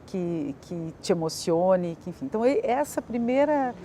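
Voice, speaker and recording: Mellow woman thinking aloud. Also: mid-range voice, animated, normal speed, slight background noise. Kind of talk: informal interview talk.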